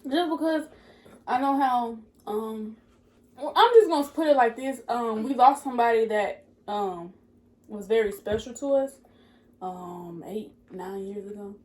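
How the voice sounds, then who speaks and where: A woman's voice: a little high, measured pace, darkish tone. Young woman chatting candidly over food. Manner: candid, laughs often, talks with her mouth full. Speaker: co-host. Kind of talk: casual chat while eating.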